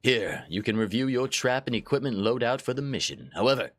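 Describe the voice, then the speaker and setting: A man's voice: medium pitch, sing-song, a little fast, a bit bright, no echo. A man reading the lines of a dutiful male war room advisor in a game, in a formal briefing tone. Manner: formal briefing read aloud.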